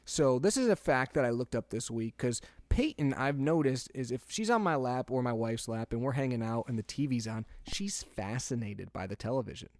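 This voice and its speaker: A young man in casual podcast conversation, talking loosely and laughing easily as he tells stories. His voice sits at a middle pitch and sounds dry and close, with no room around it. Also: moderate pace, few pauses, dry recording. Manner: chatty, laughs easily, animated when telling stories. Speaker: podcast co-host. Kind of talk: casual chat.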